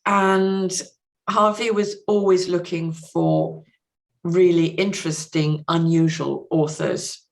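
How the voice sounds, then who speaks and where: A woman speaking as a literary interview guest, explaining things in an articulate, considered way and pausing to find the right words. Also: fairly low pitch, steady tone. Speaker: podcast guest, literary translator.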